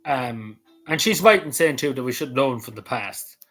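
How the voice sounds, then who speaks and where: A man's voice: mid-range voice, lively intonation, normal speed, a bit bright, soft background music. A man rambling casually on camera, hesitant, with many fillers, restarts and small chuckles. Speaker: vlogger.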